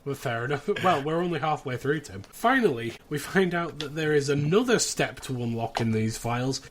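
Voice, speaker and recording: A man's voice: on the high side, expressive, moderate pace, slight background noise. A British man hosting a podcast, casually recapping a plot in a chatty way with wry asides. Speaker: podcast host.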